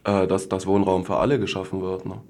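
A man gives a casual interview as an activist. His voice sits fairly low with little melodic movement, and he talks colloquially, regularly checking in with short tag questions. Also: completely flat delivery, fast, a little mellow.

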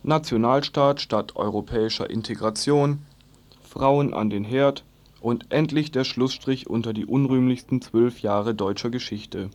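A man's voice: medium pitch, unhurried. A man reading a scripted, satirical news commentary on the radio with an ironic manner.

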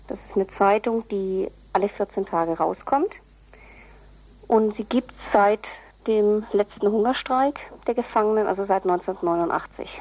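A woman's voice: mid-range voice, warm tone, no room sound, rough recording. A woman giving explanatory interview answers in a precise, matter-of-fact way, as an editor would. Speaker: newspaper editor as interview guest.

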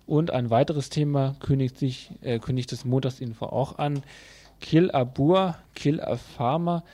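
A male community radio presenter reads the news. His voice is mellow and sits at a middle pitch, with the plain delivery of a local bulletin. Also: unhurried.

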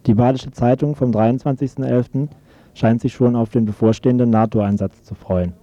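A man's voice: mid-range voice, steady tone, normal speed, mellow, lo-fi sound. A man reading a scripted press review as a radio presenter.

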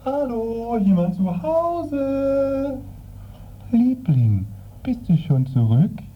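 An actress playing a lovestruck, clingy, eerily gentle character in a comic radio play, cooing and insistent. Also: female voice, on the high side, sing-song, extremely slow, a little hiss or hum, exaggerated voice. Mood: calling out. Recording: very muffled, phone-like radio recording.

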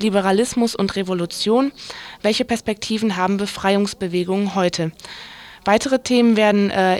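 A woman's voice: mid-range voice, no echo. A woman presents a radio programme in a mid-range voice. She reads out announcements informally and chattily, tripping over the odd word. The studio sound is dry and close. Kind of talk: casual live programme announcement.

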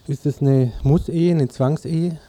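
A man's voice: a little high, steady tone, unhurried, warm tone. A man working as a radio interviewer, reading a prepared report and putting questions to a guest.

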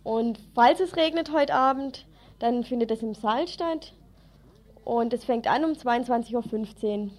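A woman's voice: a little high, measured pace, darkish tone. A woman presenting event tips on local radio in an informal, colloquial manner.

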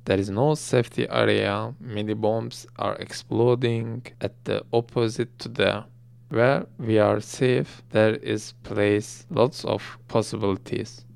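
A man interprets in a slow, deliberate way. His voice is gravelly with vocal fry, yet smooth and resonant. His pitch is middling. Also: steady tone, darkish tone. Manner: measured, deliberate delivery. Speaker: interpreter giving English summary. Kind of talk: translated summary.

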